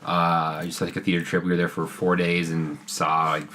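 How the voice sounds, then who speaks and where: A man's voice: sits low, little pitch movement, moderate pace, bright, forceful tone, a little hiss or hum. A young man co-hosting a podcast, telling rambling anecdotes full of "like" and "uh" in casual chat. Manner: rambling anecdotes with lots of fillers. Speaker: podcast co-host.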